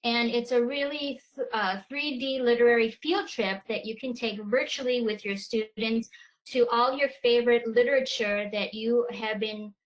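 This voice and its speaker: A woman whose first language is Polish, presenting in a video-call webinar, talking in a halting way with many fillers and restarts. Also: on the high side, low-quality recording.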